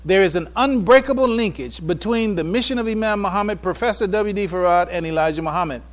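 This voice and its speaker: An American man delivering a prepared public address on religion and history from notes, with formal, deliberate, emphatic phrasing. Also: sits high. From United States. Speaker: speaker giving a public address.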